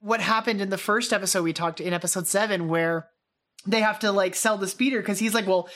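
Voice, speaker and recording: Woman cohost on a podcast, normal pitch, just chatting casually and kinda thinking out loud. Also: fairly quick. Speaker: podcast co-host. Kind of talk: casual chat about a film radio drama.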